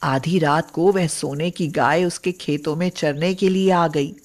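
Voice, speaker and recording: A woman's voice: low voice, fairly even intonation, unhurried, a little mellow. A woman reading scripted narration for moral bedtime stories as the storyteller.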